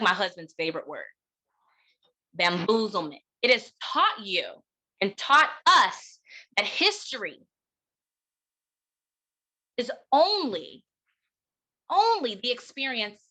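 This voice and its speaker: Bright, high-voiced American woman giving a keynote. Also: rough recording. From United States. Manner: emphatic, deliberate rhetorical delivery with pauses and repetition. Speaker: keynote speaker and educator. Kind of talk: impassioned keynote talk.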